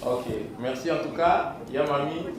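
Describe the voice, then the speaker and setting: A man's voice: a little high, measured pace, very noisy. A man moderating a press conference with brief thanks and handovers. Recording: very echoey room from far off, loud crowd.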